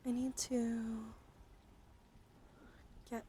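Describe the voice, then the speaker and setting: A woman chatting casually on an ASMR livestream, soft-spoken and at times slipping into a whisper. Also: fairly high pitch, little pitch movement, extremely slow, slight background noise. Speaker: live streamer.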